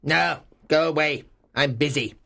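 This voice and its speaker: A hard, scornful old miser, pitched up. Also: male voice, a little slow, creaky, bright, forceful tone, exaggerated voice. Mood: curt, dismissive. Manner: curt, scornful. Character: mean, cold old miser, gruff and dismissive. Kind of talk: audiobook character acting.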